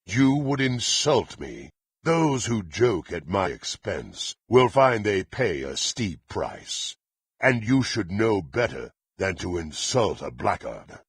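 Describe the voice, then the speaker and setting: An actor playing a menacing, proud and violent blackguard warrior in a fantasy video game, with a gravelly, raspy voice and haughty, threatening, formal delivery. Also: male voice, lowish voice, big pitch swings, takes it slowly, dry recording, clean studio sound, exaggerated voice. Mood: cold menace. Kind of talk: theatrical fantasy game voice acting.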